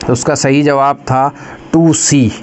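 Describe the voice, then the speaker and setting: A man's voice: medium pitch, steady tone. Pakistani man lecturing, with background noise. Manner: switches between Urdu explanation and slow, deliberate English reading. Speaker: lecturer for law exam preparation. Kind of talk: explanatory lecture, reading out quiz questions and explaining them.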